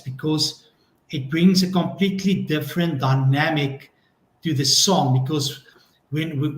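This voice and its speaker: A man talking as a songwriter guest on a live-stream video call, slow and thoughtful. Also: a little high. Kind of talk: casual live-stream chat. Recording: echoey room.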